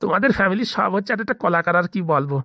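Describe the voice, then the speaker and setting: A performer doing a fussing middle-aged cartoon parent, kindly, extremely rapid chatter, Bengali accent, dry recording. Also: medium pitch, animated, sharp tone, dry recording. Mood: complaining. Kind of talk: Bengali comedy cartoon dialogue.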